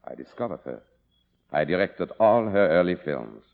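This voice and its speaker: An extremely deep-voiced, grave manservant, devoted and formal. He speaks with solemn care on a dull old radio recording. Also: big pitch swings, normal speed. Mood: proud, solemn. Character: grave, devoted male butler, once a film director. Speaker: actor. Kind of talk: 1950s radio drama acting.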